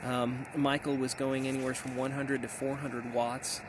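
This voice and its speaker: American man chatting outdoors, clear background noise. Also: medium pitch, flat delivery, moderate pace. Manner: enthusiastic. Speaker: amateur radio operator and vlogger. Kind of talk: casual outdoor vlog talk, summarizing. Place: outdoors in hilly backcountry.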